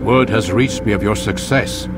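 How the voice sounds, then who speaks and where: A man playing a calm, commanding male order leader in a video game, speaking in a measured, authoritative way. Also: fairly low pitch, lightly acted. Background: orchestral game music.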